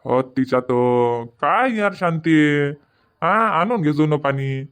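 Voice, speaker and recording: A man's voice: on the high side, expressive, slow pace, a bit bright, clean tone. A man voicing a stubborn middle-aged village farmer husband in a comic cartoon, grumbling back. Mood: arguing. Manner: grumbling, placating, arguing back. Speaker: cartoon voice actor.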